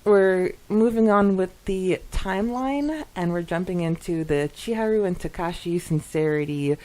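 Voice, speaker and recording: A woman co-hosting a podcast, chatting casually in a giggly, expressive way as she reacts to listener comments. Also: fairly low pitch, moderate pace. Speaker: podcast co-host.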